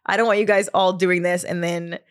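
A woman's voice: lowish voice, steady tone, brisk. A woman, hosting her podcast in a casual solo chat, laughing often and trailing off with fillers. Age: 30s. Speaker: podcast host.

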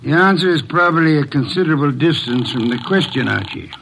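Pompous indolent detective, higher-pitched male voice, gravelly creak, formal phrasing, fussy, old-time radio drama. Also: animated, brisk, noisy background. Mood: calm, deliberate. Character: pompous, beer-loving, indolent master detective, fussy and unflappable.

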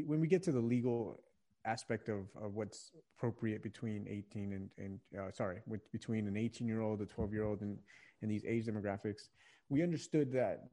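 A man's voice: on the low side, mellow. A young man from Virginia, speaking as a guest in a conversational podcast interview, earnest and reflective, often restarting phrases as he searches for words. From Virginia, USA. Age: young adult. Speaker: podcast guest, young adult ministry leader.

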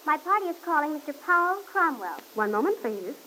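An actress playing a brisk, formal switchboard operator in an old radio serial. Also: sits high, big pitch swings. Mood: businesslike. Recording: noisy vintage radio recording.

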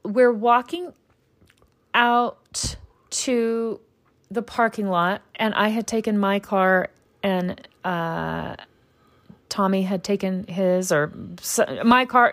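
Wry woman telling a family story. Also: a little high. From Utah, US. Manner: wry, deadpan storytelling with fillers. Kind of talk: casual storytelling conversation.

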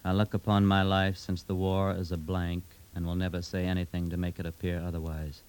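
Actor as very deep, steady-voiced proud outlaw. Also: male voice, clear, resonant tone, natural voice. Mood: proud, firm. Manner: formal, measured, declamatory. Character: aging outlaw ex-soldier writing a proud, curt letter. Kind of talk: 1950s radio drama acting, reading a letter aloud.

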